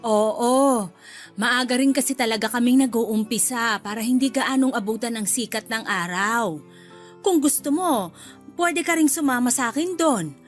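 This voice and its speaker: A woman's voice: fairly high pitch, moderate pace, soft background music, natural voice. An actress playing a chatty, fussy middle-aged mother-in-law in a radio drama. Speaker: husband's mother.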